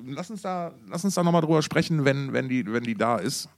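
A man talks in a very lively, swooping voice, recorded close and dry. He co-hosts a casual music podcast and speaks colloquially, with plenty of slang and interjections. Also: mid-range voice, fairly quick, no room sound. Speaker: podcast co-host.

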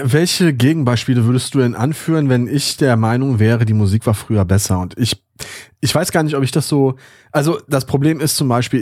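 A man's voice: medium pitch, a little mellow, dry recording, clean studio sound. A man co-hosting a podcast in casual chat, asking questions in a hesitant, self-correcting way. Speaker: podcast co-host.

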